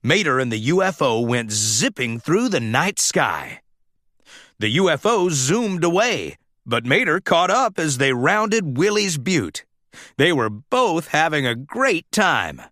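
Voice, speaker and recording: A man's voice: a little high, sing-song, slow, pushed and bright, no room sound, clean studio sound. A man reading a children's storybook aloud as scripted narration. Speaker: storybook reader.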